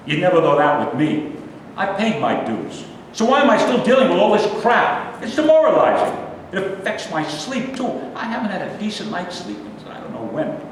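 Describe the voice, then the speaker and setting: A man plays an aggrieved old male veteran in a staged reading, cursing and griping. His fairly high voice swings widely in pitch. It rings through a very echoey hall, picked up from a distance. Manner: aggrieved, profane ranting. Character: bitter, griping, foul-mouthed old male army veteran. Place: indoor live staged reading. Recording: echoey hall, distant mic, rumbling noise.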